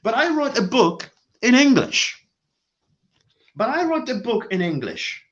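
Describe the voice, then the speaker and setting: A man delivers a motivational talk in a high, animated voice that swings up and down as he drives each point home. He leans on repetition and says key lines twice. The recording sounds dull and lo-fi. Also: measured pace. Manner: emphatic, repeats key phrases. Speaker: motivational speaker.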